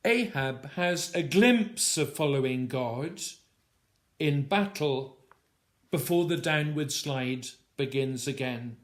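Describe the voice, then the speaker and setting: Very slow, deliberate man reciting poetry. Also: a little high, animated. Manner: measured, deliberate, short phrases with pauses. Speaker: poet reading own work. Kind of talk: dramatic poetry recitation of a Bible story.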